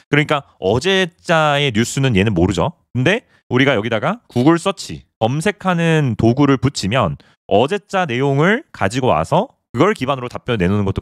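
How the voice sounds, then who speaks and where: A man's voice: medium pitch, dry recording, studio quality. A man teaching a screen-recorded coding tutorial, explaining step by step as he points things out on screen. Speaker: programming instructor.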